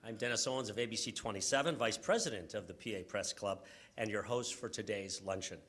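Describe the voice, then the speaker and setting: A man's voice: on the low side, a little fast, a bit bright. An American man hosting a press club luncheon, giving a speaker introduction with wry asides. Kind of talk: event introduction speech. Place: luncheon event room, speaking to an audience.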